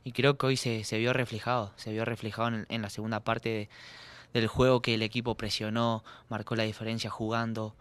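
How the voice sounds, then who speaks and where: A young man, a soccer player answering at a postgame press conference, earnest and halting with repeated words, speaking into a desk mic. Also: fairly low pitch, flat delivery, fairly bright tone. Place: press room. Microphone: desk mic on stand.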